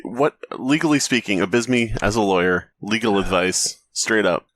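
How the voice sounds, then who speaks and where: Lowish guy voice, podcast cohost, jokey and tongue in cheek, casual chat. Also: unhurried, a bit bright, dry recording. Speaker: podcast co-host.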